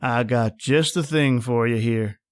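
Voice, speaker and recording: A man's voice: mid-range voice, unhurried, a little hiss or hum, close to an everyday voice. A man acting a folksy, nosy small-town grocer in a radio drama, chatty and colloquial. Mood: folksy, prying.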